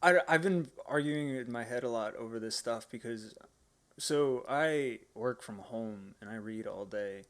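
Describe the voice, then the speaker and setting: A man's voice: medium pitch, unhurried, fairly bright tone. A man co-hosting a casual podcast, talking in a rambling, slangy, informal way. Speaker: podcast co-host.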